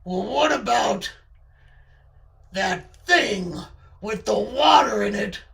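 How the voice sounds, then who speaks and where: A woman voicing a menacing, suspicious male talking lump in a comedy monologue, accusing and forceful. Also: medium pitch, expressive, takes it slowly, creaky, distant mic, exaggerated voice. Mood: aggrieved.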